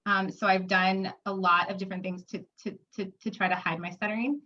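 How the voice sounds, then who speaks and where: A woman's voice: medium pitch, fairly even intonation, brisk, bright, forceful tone, dull audio. A woman in her twenties who stutters, with frequent sound repetitions, blocks and fillers, sharing her personal experience. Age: 20s. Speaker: interviewee. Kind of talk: personal testimony.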